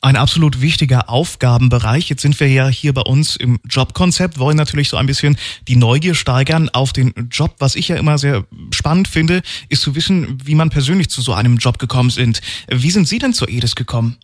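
Male radio presenter, smooth upbeat moderation, dry studio sound. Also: medium pitch, brisk, few pauses, no echo. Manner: upbeat, polished presenter delivery. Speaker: radio host.

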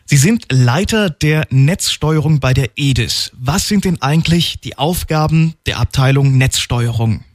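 Male radio presenter, smooth upbeat moderation, dry studio sound. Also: mid-range voice, expressive, unhurried, hardly any pauses, no room sound. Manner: upbeat, polished presenter delivery. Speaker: radio host.

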